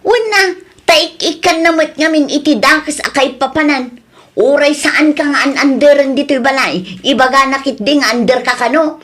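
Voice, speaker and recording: A woman's voice: high voice, moderate pace, fairly bright tone. A woman playing a quarrelsome, indignant young wife in a radio drama, complaining and confrontational. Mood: aggrieved. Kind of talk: Ilocano radio drama acting.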